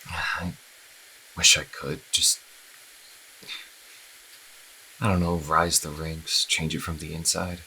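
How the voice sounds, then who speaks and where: A man playing a troubled, conflicted young priest in an audio drama, halting and brooding. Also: very deep voice, flat delivery, sharp tone, full character voice, poor audio. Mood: hesitant. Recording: faint background noise.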